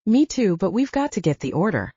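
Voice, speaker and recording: A woman's voice: medium pitch, sing-song, fairly quick, dark tone, slightly rough, no room sound, highs cut off. A woman voicing a cautious, protective male wizard with a matter-of-fact manner in an audiobook. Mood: wary.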